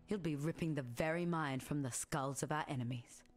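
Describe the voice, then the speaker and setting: A woman playing a stern, low-voiced warrior woman. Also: soft background music, natural voice. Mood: grave, resolute. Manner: solemn, measured, formal. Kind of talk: scripted video game character dialogue.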